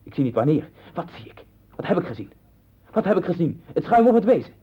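An actor playing a troubled, obsessively questioning man with a husky, breathy voice in a 1960s radio play. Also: fairly high pitch, sing-song, fast talker. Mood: anguished. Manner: insistent, probing questions and fevered monologue. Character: troubled, searching man sinking into obsessive doubt. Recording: dull 1960s radio recording.

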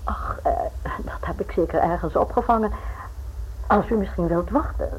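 A woman acting in a Dutch radio play as a polite, guarded upper-class lady with a husky, breathy voice and formal manner. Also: medium pitch, moderate pace, low-quality recording. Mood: polite, gracious. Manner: formal, reserved.

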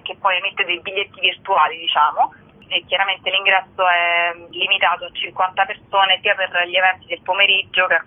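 Woman on a tinny phone line. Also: fairly low pitch. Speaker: festival organiser, interview guest. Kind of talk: informal spoken interview.

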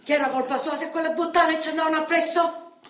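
Devoted southern italian lady, super high plaintive voice, lamenting in dialect, radio drama, big echo. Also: steady tone, quick pace, a bit bright. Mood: defensive. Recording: very echoey hall, distant mic.

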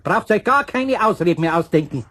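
An actor playing a gruff but kindly older craftsman in a radio play, with a husky voice and a folksy, scolding Bavarian way of talking. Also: high voice, expressive, fairly quick, fairly bright tone. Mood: stern, scolding.

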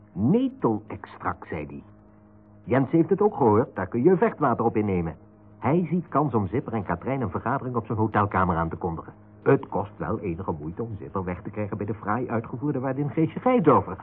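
A man's voice: lowish voice, sing-song, normal speed, slightly rough. A man narrating a radio play in a dramatic scripted style. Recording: narrow, phone-like radio recording.

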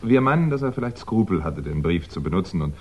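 Deep voiced uneasy writer guy in an old radio krimi, wry and hesitant, slightly muffled sound. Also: sing-song, fast, darkish tone, slightly rough, heavily acted. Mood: explaining, uneasy. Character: uneasy married man, crime writer under suspicion. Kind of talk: 1960s German radio crime drama acting. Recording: dull, band-limited 1960s radio recording.

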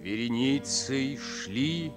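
An actor narrating a Soviet wartime radio play in a grave, deliberate, declamatory manner. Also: male voice, medium pitch, expressive. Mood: solemn. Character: solemn narrator of a wartime epic. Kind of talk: solemn dramatic scripted narration of a Soviet war radio play. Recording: old radio play, loud music behind. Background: music.

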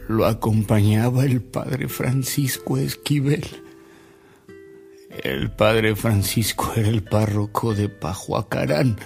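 A Mexican man recalling old anecdotes with fond amusement. He takes his time and savors each detail in a slightly gravelly, mid-pitched voice, in the manner of a radio drama with faint music behind. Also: darkish tone. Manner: unhurried, savoring anecdotes, chuckling delivery. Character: Don Alberto, fond, amused old storyteller reminiscing about a bishop.

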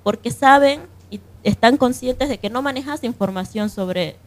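A woman's voice: fairly high pitch, moderate pace. A woman with a Bolivian accent speaking conversationally in an unscripted radio discussion, often checking for agreement. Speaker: radio panelist.

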